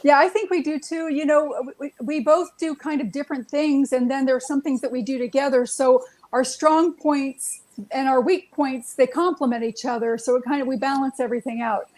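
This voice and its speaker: Bright high-voiced woman guest. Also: fairly even intonation, brisk, low-quality recording. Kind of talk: casual interview chat.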